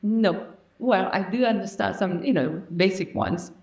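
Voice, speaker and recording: A woman's voice: mid-range voice, a little slow. A woman hosting a casual podcast interview, chatty and eager, often chiming in with short replies. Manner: chatty, eager, frequent backchannels. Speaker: podcast host. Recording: roomy echoey room.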